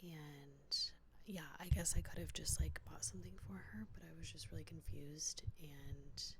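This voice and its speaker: Softly whispering young woman from the South. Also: low-pitched, fairly even intonation, normal speed, a little hiss or hum. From Southern United States. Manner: soft whisper, hesitant, frequent "like" fillers. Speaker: vlogger. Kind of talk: whispered ASMR storytelling.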